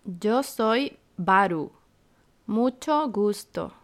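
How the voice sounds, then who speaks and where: A woman from Santiago, a teacher co-hosting a slow, scripted beginner lesson with clear, deliberate phrasing. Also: mid-range voice, animated. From Santiago, Chile. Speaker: podcast co-host, Spanish teacher.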